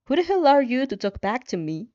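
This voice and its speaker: A woman's voice: high voice, big pitch swings, brisk, dark tone, no room sound, voice pushed up. A woman voicing an angry teenage boy bully, gritted and sneering, in a story reading with lo-fi sound. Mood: sneering contempt. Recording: dull lo-fi sound.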